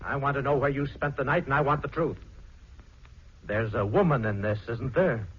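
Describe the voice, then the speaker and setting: A man's voice: mid-range voice, normal speed, breathy edge, lightly acted. A man playing a stern, demanding middle-aged father in a 1940s radio drama, on a muffled old recording. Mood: stern, angry.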